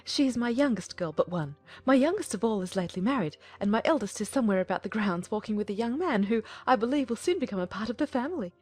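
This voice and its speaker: A woman's voice: fairly high pitch, animated, fast, hardly any pauses, darkish tone, slightly rough, faint music behind. A woman playing a gushing, eager-to-please middle-aged mother in an audiobook drama, chatty and fawningly polite. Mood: eager, flattering.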